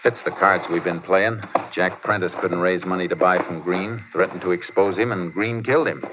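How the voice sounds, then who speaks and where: An American man acting a steady, laconic Texas Ranger in an old radio drama, courteous but determined, with clipped matter-of-fact delivery. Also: deep voice, normal speed, noisy background, close to an everyday voice. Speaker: lead actor and narrator. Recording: muffled old radio recording with music.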